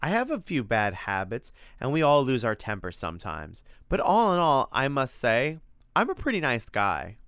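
A man's voice: medium pitch, wildly swooping pitch, moderate pace, fairly bright tone, clear, resonant tone, dry recording, studio quality, pitched above the performer's own voice. A man voicing a big, ugly but good-natured storybook monster who talks in a folksy, mock-grand way. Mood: indignant. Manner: folksy mock-grand speech, eager to explain.

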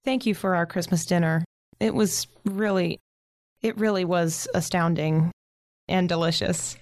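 A woman's voice: lowish voice, fairly even intonation, a little slow, darkish tone, dry recording, clean studio sound. A woman in an audio drama playing a shy, kindly teenage girl, speaking politely and tentatively. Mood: tentative, grateful.

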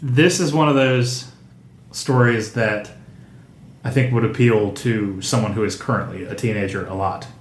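A man's voice: lowish voice, normal speed. Hesitant male podcaster, echoey room. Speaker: podcast co-host. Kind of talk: casual chat. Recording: roomy small room.

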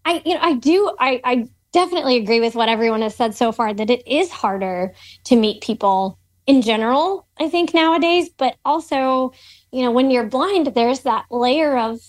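A woman chats in an upbeat, easygoing way on a group call. Her voice is high and bright in pitch. She leans on fillers as she goes. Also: animated, normal speed. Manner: upbeat, chatty, many fillers. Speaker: call-in participant. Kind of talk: casual group discussion.

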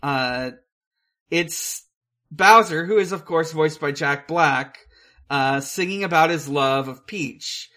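A podcast co-host casually discussing a film in a halting, hesitant way, with casual swearing. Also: mid-range voice, pushed and bright.

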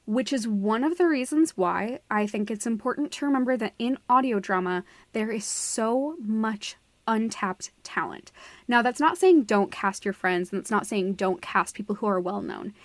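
A woman's voice: fairly high pitch, animated, moderate pace, dry recording. A woman co-hosting a podcast, giving her opinions casually in a frank, emphatic way. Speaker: podcast co-host.

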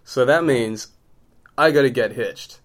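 A man's voice: medium pitch, measured pace. A man co-hosting a comedy podcast, needling and teasing in casual comic banter. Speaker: podcast co-host.

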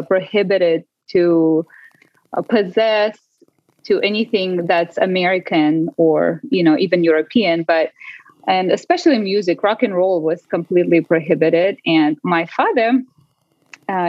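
A woman's voice: on the low side, dry recording. Woman on a dull remote line. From Russia. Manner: animated, laughs often. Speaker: podcast guest. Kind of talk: casual interview storytelling. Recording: dry, dull lo-fi call audio.

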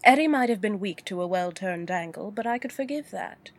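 An actress playing a wealthy businesswoman client in an audio drama, cool and measured. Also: mid-range voice, lively intonation. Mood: composed. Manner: cool, measured, dryly composed.

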